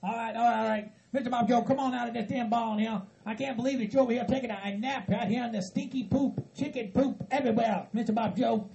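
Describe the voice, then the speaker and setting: A man voicing an earnest Southern hillbilly kinsman who stammers, repeats himself and tries to calm others down. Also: extremely high pitch, fast, creaky, few pauses. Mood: placating. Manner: stammering, repetitive, pleading for calm.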